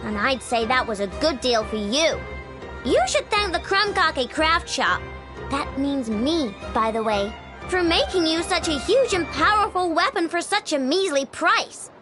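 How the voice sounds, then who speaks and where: A woman's voice: high voice, lively intonation, unhurried, over music. A woman voicing a cheeky, brash young girl genius mechanic with a casual, boastful manner in a video game. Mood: smug.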